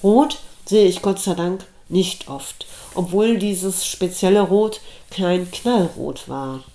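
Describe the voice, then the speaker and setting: A middle-aged woman reading her own reflective personal essay aloud, in a slow, measured and thoughtful way. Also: lowish voice, mellow. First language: German. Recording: roomy echo.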